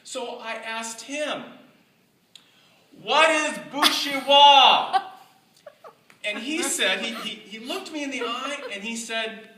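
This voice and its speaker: A middle-aged speaker telling a tall tale to a live audience in a deliberate, mock-scholarly way with dramatic pauses. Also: medium pitch, pushed and bright, distant mic. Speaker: speaker giving a humorous club speech. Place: indoor room with live audience. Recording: echoey room from afar, faint noise.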